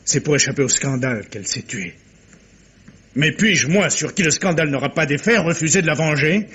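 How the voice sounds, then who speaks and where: A man acting an anguished, stubborn gentleman with solemn, declamatory delivery in a 1950s French radio mystery. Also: a little high, animated, a little fast, husky. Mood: tormented. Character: anguished, stubborn wealthy gentleman confiding a dark secret. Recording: noisy vintage radio recording.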